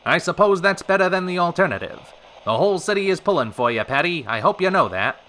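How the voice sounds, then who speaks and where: An actor playing a showy radio host of a retro variety hour, with polished, theatrical announcer patter in an old-time radio style. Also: male voice, high voice, expressive, quick pace, pushed and bright, slight background noise. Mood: concerned, probing. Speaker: radio show host and interviewer.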